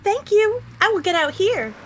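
A woman's voice: very high voice, very animated, moderate pace, background noise. A woman playing a resolute, melodramatic young superheroine in an amateur radio play. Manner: melodramatic, declamatory. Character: resolute, dramatic young superheroine who turns invisible.

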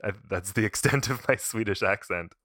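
A man hosts a casual podcast, talking in a lowish, bright and forceful voice. He tells stories in a loose, chatty way and laughs often. The sound is close and dry. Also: normal speed, dry recording. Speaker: podcast host. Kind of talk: casual chat.

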